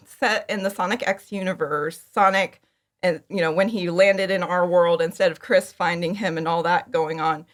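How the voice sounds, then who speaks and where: Woman being interviewed, kinda low voice, just chatting casually. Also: a bit bright. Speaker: interview guest, fan audio drama creator. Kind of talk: casual chat, telling how a project came about.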